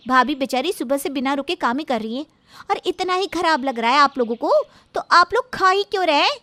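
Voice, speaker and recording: A woman's voice: high-pitched, lively intonation, fairly quick, sharp tone, no room sound. A woman voicing a feisty, outspoken young daughter-in-law in a cartoon story, blunt and scolding. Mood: indignant.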